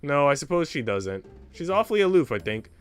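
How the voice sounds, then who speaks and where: A man reads as a young servant woman in a visual novel, reserved yet quietly caring. The manner is plain and matter-of-fact, and music plays underneath. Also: on the high side, big pitch swings, brisk, smooth.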